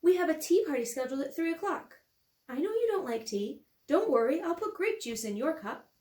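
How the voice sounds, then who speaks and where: A woman's voice: high-pitched, very animated, fairly quick, a little mellow, reverberant, voice pushed up. A woman voicing an earnest, imaginative young girl who bossily plays at being grown-up in a storybook reading. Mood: bossy, motherly. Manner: earnest, insistent, playing grown-up. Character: young girl Ella, bossy, earnest, imaginative little sister.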